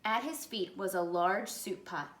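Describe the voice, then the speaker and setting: A woman reads a children's picture book aloud in an expressive storytelling style. Her medium-pitched voice carries a clear room echo. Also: measured pace. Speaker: picture-book reader, educator. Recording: echoey room.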